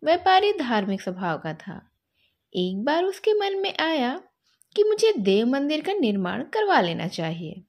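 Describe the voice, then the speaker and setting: A woman telling a story to children expressively, in a gentle, teaching way. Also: sits high, sing-song, measured pace.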